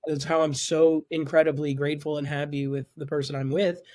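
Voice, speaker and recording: A young man in his twenties with a high voice chats as a podcast guest. He often stumbles and repeats words before getting a thought out. His voice is recorded dry and close. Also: little pitch movement, fairly quick, darkish tone, no echo. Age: 20s. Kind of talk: casual podcast chat.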